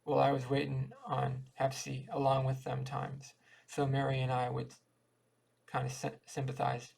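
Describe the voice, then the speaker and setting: A man's voice: mid-range voice, monotone, a little slow, creaky, a little mellow, husky. A man reading a folksy dialect short story aloud as an amateur audiobook reader, often stumbling and repeating words.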